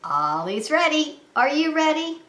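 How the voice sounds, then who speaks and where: A woman preschool teacher reading a children's story aloud in an animated, sing-song manner, talking to small children. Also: high voice, unhurried. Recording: echoey room.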